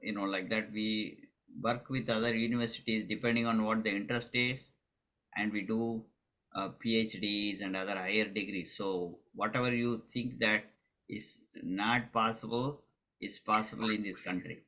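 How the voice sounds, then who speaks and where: A man with a low but bright, forceful voice hosts a community meeting. He talks in a loose, halting way, restarting phrases and leaning on filler words. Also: fairly even intonation, poor audio. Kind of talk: casual meeting talk.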